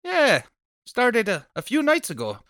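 Guy doing a chatty stubborn neighbor cop for an old radio horror play, clean smooth voice, really dry recording. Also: extremely high pitch, huge pitch swings, unhurried, bright, forceful tone, no room sound, exaggerated voice. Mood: confiding, sheepish. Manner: folksy, blustery, colloquial. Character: nosy, chatty, stubborn Irish neighbor, off-duty policeman. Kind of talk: table read of 1930s radio horror play.

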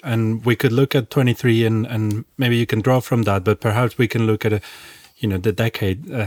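Halting man hosting a podcast, dry sound. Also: fairly low pitch, darkish tone, no room sound. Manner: halting, repeats words as he restarts. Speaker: podcast host. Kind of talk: casual podcast chat.

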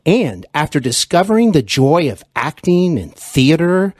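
Male interview host, courteous and effusive, animated intonation, breathy husky edge, close dry sound with light music. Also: fairly high pitch, a little slow, no echo. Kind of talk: scripted introductions and polite interviewing.

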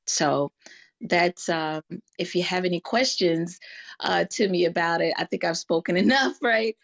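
A woman's voice: fairly low pitch, unhurried, vocal fry, dull audio. A woman speaking reflectively and earnestly as she explains her thoughts to a group, often checking in with listeners. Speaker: guest speaker in a group discussion.

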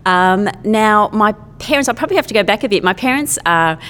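A woman's voice: medium pitch, expressive, brisk, fairly bright tone, big-room sound. A middle-aged woman, a former lawyer and painter, chatting as a podcast guest in an enthusiastic, rambling way with many fillers. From Australia. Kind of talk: casual interview chat.

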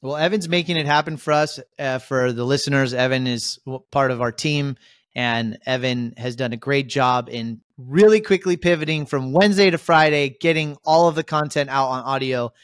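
A man's voice: medium pitch, normal speed, no room sound, studio quality. Upbeat man hosting a podcast. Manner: upbeat, rambling, lots of shout-outs. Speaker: podcast host. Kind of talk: casual podcast chat.

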